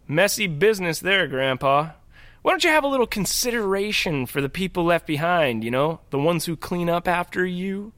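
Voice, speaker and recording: Man acting a cheeky, high-pitched, swooping hospital worker. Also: expressive, normal speed, fairly bright tone, dry recording. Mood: teasing, snide. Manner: folksy, breezy, teasing. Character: chatty, cheeky male hospital worker, younger than painter. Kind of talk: audio drama acting.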